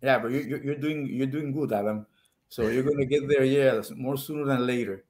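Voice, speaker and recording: A man's voice: medium pitch, steady tone, fairly quick, darkish tone. Friendly older male historian. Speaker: baseball historian and show regular. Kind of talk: casual video call chat.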